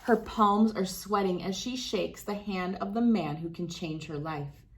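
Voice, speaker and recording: A woman reading a children's story aloud as host, with dramatic, deliberate phrasing and clear emphasis. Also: mid-range voice, rough recording. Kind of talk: expressive picture-book read-aloud.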